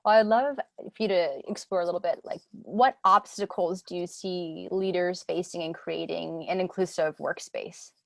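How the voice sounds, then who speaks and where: A woman's voice: fairly low pitch, moderate pace, gravelly, hardly any pauses. A woman hosting an interview, asking questions in an upbeat, affirming way. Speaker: interview host.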